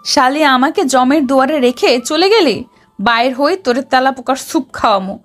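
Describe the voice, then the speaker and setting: A woman's voice: high-pitched, expressive, fairly quick, soft background music. A woman playing a feisty, sassy young officer in a romantic audio story, with a melodramatic manner. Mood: panicked. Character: feisty, dramatic young woman officer in love with her boss.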